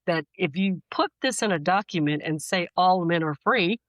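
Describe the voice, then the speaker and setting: American woman, podcast guest. Lowish voice, thoughtful and emphatic explainer, very dry recording. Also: normal speed, no echo. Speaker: guest poet and author. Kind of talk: conversational podcast interview.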